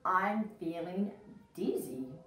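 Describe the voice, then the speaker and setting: Storybook ladybird, played by a woman reader: lazy, fussy female, plaintive and slow, heard in an echoey room. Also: fairly low pitch, lively intonation, fairly bright tone. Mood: fussy, weary. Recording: echoey room, distant mic, faint noise.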